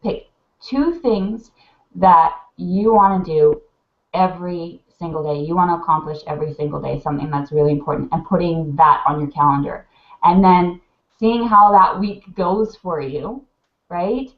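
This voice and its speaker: A woman's voice: on the low side, normal speed. A woman on a video call gives heartfelt advice, rambling and full of fillers, a little sniffly from crying. The audio is muffled webcam sound in a roomy space. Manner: gushing, rambling, many "like" fillers. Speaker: mentor on a live video hangout. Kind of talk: heartfelt casual advice chat.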